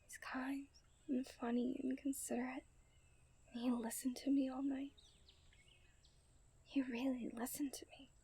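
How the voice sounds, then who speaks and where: A young woman playing a coy, refined and tender princess in a romantic ASMR roleplay, speaking in playful storybook diction that often softens to a near-whisper. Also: high voice, level tone, a little slow, pauses often, a little hiss or hum. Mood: tender, earnest. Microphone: close studio-style microphone.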